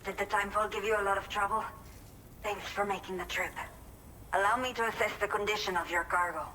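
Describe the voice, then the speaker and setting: A woman's voice: mid-range voice, fairly even intonation, a little fast, bright, forceful tone, slight background noise, natural voice. A woman playing a grateful, earnest medic at a remote settlement in a video game, speaking politely. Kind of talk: scripted game cutscene dialogue. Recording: distant from mic, music behind.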